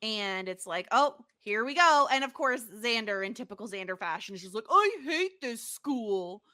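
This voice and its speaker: A woman with a crisp, very bright tone and a raised pitch. She recaps a TV episode casually as co-host, dropping in animated quoted asides. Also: normal speed. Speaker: podcast co-host.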